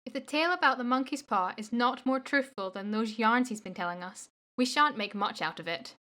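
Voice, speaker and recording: A woman's voice: on the high side, a little fast, sharp tone. A woman acting in a radio play as a cheeky, teasing grown-up daughter, playful and pert.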